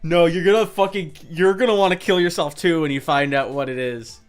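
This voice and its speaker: A man's voice: on the high side, a little fast, soft background music. A man chatting casually on a game livestream, swearing and exasperated, prone to hyperbole. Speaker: streamer.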